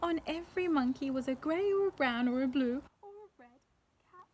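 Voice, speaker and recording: A woman with a high, very animated voice reads a children's picture book aloud. She takes her time, leaving dramatic pauses and asking young listeners questions. Faint noise sits behind her. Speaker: storyteller reading to children.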